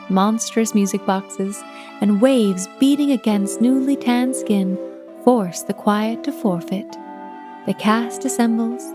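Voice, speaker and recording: A woman playing a poised, poetic telephone operator who hosts and narrates a radio anthology in a florid, deliberate, theatrical manner. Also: medium pitch, close to an everyday voice. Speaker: host and narrator.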